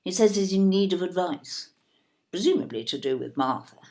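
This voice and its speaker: Posh older english wife in an audio drama, low gravelly voice, very animated, snobby and disapproving. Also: female voice, moderate pace. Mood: flustered, chatty. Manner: haughty, disapproving, plummy. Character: snobbish, opinionated older upper-middle-class wife and mother. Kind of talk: audio play acting.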